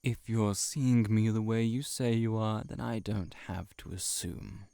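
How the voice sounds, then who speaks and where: A man voicing a cynical, seductive heart-eating shapeshifter monster in an intimate audio roleplay, sardonic and measured, sometimes near a whisper. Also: lowish voice, creaky, dark tone, clear, resonant tone, no echo, clean studio sound. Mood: wry, puzzled.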